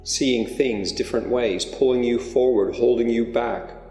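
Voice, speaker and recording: A man leading a spiritual guided meditation, speaking in slow, deliberate phrases with long pauses. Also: medium pitch, warm tone. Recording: echoey hall-like reverb under music. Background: music.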